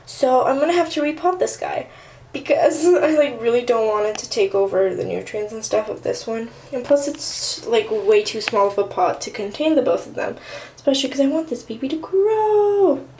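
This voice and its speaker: Chatty teen girl, slightly high voice. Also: animated, normal speed, darkish tone. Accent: Armenian Russian. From southern California. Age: teens. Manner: chatty, bubbly, lots of fillers. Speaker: vlogger. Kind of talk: casual plant tour vlog. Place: apartment room and bathroom, indoors.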